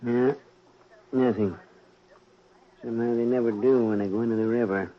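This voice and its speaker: A man's voice: medium pitch, fairly even intonation, moderate pace, close to an everyday voice. A matter-of-fact, callous morgue attendant in a 1940s radio play, voiced by a man. His mid-range delivery is blunt and casual. The old recording sounds dull.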